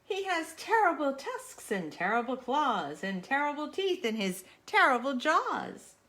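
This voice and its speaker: A woman's voice: high voice, sing-song, a little slow, pushed and bright, pitched above the performer's own voice, exaggerated voice. A woman voicing a small, clever brown mouse in a picture book, with a prim, polite manner. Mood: polite, sly.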